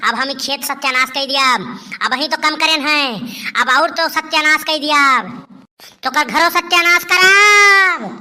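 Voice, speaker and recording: Casting: a woman as a vengeful possessing spirit for a village cartoon, high bright voice, threatening, repetitive chanting. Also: a little slow, full character voice. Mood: angry, threatening. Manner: threatening, chanting repeated phrases. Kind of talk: village comedy cartoon dialogue.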